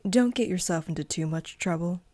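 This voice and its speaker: A woman's voice: lowish voice, brisk, a little mellow, no room sound, studio quality, natural voice. A woman playing a cocky, flirtatious, cool bully of a coworker in an audio drama, smug, teasing and drawling. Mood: flirty, cool.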